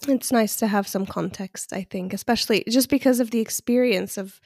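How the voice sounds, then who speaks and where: A woman hosting a birth story podcast as a doula, friendly and quick to laugh, with many fillers. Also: medium pitch, creaky, a little mellow, dry recording, studio quality. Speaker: podcast host and postpartum doula. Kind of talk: podcast interview with scripted intro.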